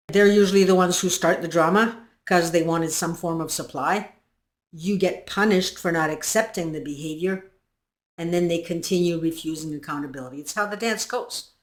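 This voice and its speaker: Woman, stuffy congested voice, earnest direct explainer, echoey room. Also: lowish voice. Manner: earnest, emphatic, addresses viewers directly. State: congested with flu. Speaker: channel host. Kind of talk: explainer talk to viewers.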